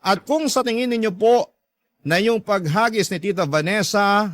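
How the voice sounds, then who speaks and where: A man's voice: high voice, normal speed, fairly bright tone, dry recording, studio quality. A man playing an aggrieved young letter writer with a Filipino accent, narrating a story earnestly and emphatically. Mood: exasperated. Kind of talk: dramatic first-person story narration.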